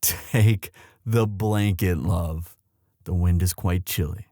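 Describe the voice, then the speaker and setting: A man playing a lovestruck young prince in an intimate audio roleplay, tender and protective, with a halting, emotive delivery. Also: sits low, warm tone, dry recording, studio quality. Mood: calm, affectionate. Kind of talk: boyfriend ASMR audio roleplay drama.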